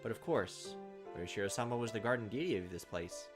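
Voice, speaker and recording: A man's voice: fairly low pitch, expressive, brisk. A man hosting a let's play, reading visual novel narration aloud with casual commentary. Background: game music.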